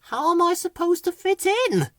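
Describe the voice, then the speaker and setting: A busy female bird from a children's storybook, voiced by a woman, brisk and a little exasperated. Her pitch rises and falls widely. The sound is dry. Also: big pitch swings, fairly bright tone, dry recording, full character voice. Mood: huffy.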